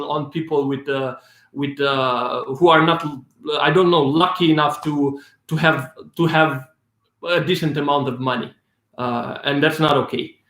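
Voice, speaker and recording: A young Romanian man with a Romanian accent chats loosely through a live viewer Q&A, circling back and restarting his sentences, laughing and cursing casually. His voice sits high for a man, and the room around him rings with echo. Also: fairly bright tone. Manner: rambling, many restarts and fillers, swears casually, laughs often. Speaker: filmmaker hosting a live Q&A.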